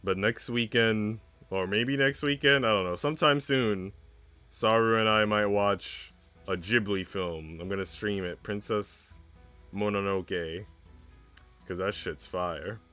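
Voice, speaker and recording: A man's voice: lowish voice, unhurried, bright, forceful tone, clean tone. A young man streaming, chatting casually in a slangy, laid-back way. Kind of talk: casual livestream chat. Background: music.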